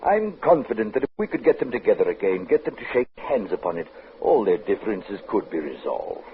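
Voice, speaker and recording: Casting: earnest, kindly dean, very varied intonation, genteel and pleading, vintage radio play, narrow band with light noise. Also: male voice, lowish voice, big pitch swings, a little fast. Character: kindly, earnest, trusting male church dean. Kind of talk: old-time radio drama acting. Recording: narrow, faintly noisy vintage radio recording.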